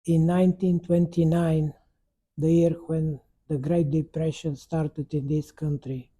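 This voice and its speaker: A man, giving an oral history interview as a survivor, halting and searching for words in an earnest way. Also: on the high side, flat delivery, mellow, husky. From Bulgaria. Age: 90s.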